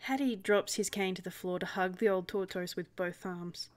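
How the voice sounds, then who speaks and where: A woman's voice: medium pitch, steady tone, moderate pace. A woman narrating actions in a roleplay story.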